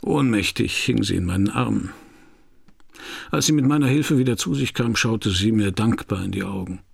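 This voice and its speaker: Weary middle-aged private eye in a radio crime play, gravelly n husky, dry and intimate. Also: male voice, fairly low pitch, moderate pace, heavily acted. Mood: brooding, narrating. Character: weary, flirtatious middle-aged male private detective narrating his case. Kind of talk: German radio crime drama, dialogue and first-person narration.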